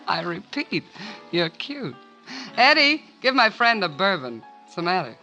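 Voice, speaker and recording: An actress playing a brassy, flirtatious barroom dame in 1940s radio noir, teasing and slangy. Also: female voice, medium pitch, sing-song, slow, sharp tone, soft background music, exaggerated voice. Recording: vintage 1940s radio recording.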